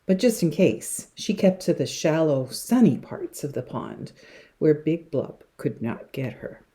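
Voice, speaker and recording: A woman reading a picture book to young children in an expressive, dramatic way, with hushed passages and emphatic words. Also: low voice, a little slow, husky. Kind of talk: expressive children's story read-aloud.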